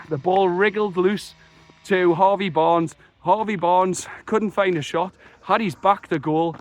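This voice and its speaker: A young man, croaky after a chest infection, talking passionately and rambling through a football match reaction. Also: fairly high pitch. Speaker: football fan vlogger. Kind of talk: excited solo match reaction.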